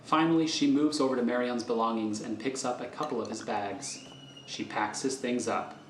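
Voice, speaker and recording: A man's voice: mid-range voice, moderate pace, slight background noise. A man reading screenplay stage directions as the narrator of an audio thriller. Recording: roomy echo with music underneath.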